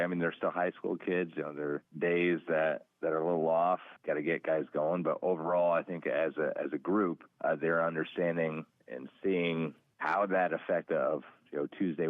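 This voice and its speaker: A thin, phone-like radio sound carries an American man's low voice, dry with no room around it. He is a football coach being interviewed, plainspoken and halting as he repeats words. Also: dry recording. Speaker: high school football coach, interview guest.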